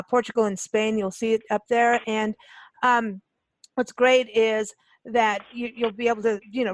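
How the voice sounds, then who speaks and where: A woman's voice: on the high side, no echo, poor audio. Eager woman radio host, dry close sound. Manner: eager, halting, lots of fillers and repeats. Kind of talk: casual interview chat.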